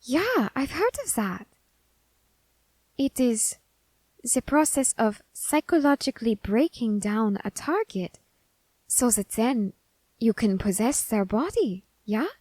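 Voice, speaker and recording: A woman voicing a curious, androgynous grim reaper in an ASMR roleplay who questions a captive demon in a slow, teasing, softly whispered way. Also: a little high, lively intonation, no echo.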